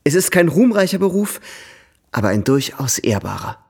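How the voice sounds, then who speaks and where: A man's voice: on the high side, big pitch swings, moderate pace, vocal fry, husky, full character voice. A man in a radio drama playing a cheerful, self-mocking young suitor with a witty, eloquent way of talking. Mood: pleading, playful.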